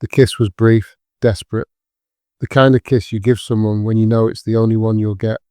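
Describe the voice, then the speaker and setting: Very even, dark-voiced male narrator. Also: lowish voice, a little fast, smooth, no room sound. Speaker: audiobook narrator. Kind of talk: scripted dramatic fiction narration.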